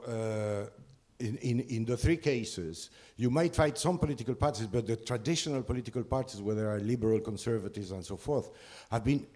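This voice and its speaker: A man's voice: mid-range voice, mellow. A man from Ecuador, speaking from the floor in a panel discussion. He halts often, with many fillers and restarts. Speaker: conference participant.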